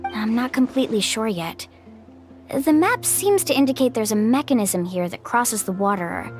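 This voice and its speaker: A woman's voice: fairly high pitch, expressive, normal speed, close to an everyday voice. An actress playing a friendly, encouraging young woman guide who leads the group in a video game. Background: game music.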